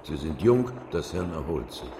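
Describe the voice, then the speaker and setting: An actor playing a serious, fatherly doctor in a radio drama, measured with a trace of warmth. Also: a bass voice, sing-song. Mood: stern, fatherly. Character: fatherly, sober male doctor giving stern counsel. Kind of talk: German radio drama acting. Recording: echoey consulting room, background noise.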